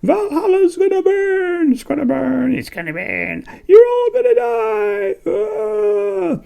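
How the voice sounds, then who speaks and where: Shrill witchy women, frantic, falsetto shrieks. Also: sing-song, takes it slowly, creaky, dark tone, heavily acted. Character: high-pitched shrieking women prophesying doom.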